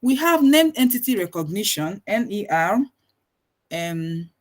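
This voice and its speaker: A woman's voice: mid-range voice, sing-song, unhurried. Nigerian woman giving a methodical lecture. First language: Igbo. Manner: methodical, lists items one by one with frequent restarts. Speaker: webinar presenter. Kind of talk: technical lecture.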